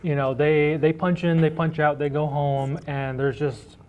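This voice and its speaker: A man being interviewed, chatting casually in an informal, halting, wry way and telling anecdotes. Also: on the high side, flat delivery, darkish tone, smooth, dull audio. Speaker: library director, interview guest.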